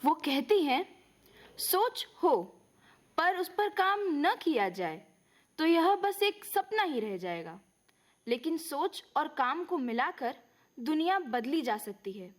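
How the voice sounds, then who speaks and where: A woman with an Indian accent giving a dramatic, declamatory poetry recitation in a deliberate manner. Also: high-pitched, very animated.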